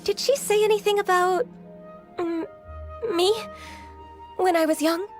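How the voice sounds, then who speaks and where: An actress voicing a gentle, shy young female secretary who speaks softly and politely, with hesitant fillers. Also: very high-pitched, fairly even intonation, measured pace, faint music behind. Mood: timid.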